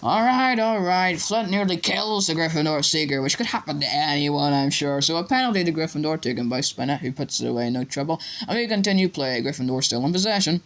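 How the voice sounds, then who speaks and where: Man voicing an excited, biased schoolboy match commentator. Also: on the high side, a little fast, hardly any pauses. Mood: indignant, partisan. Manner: breathless, partisan announcer delivery. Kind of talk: match commentary within audiobook.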